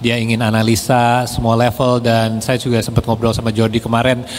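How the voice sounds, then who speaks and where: A man's voice: mid-range voice, monotone, fast talker, big-room sound. Bilingual male interviewer, hesitant. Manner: hesitant, many fillers. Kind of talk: bilingual interview, translating answers.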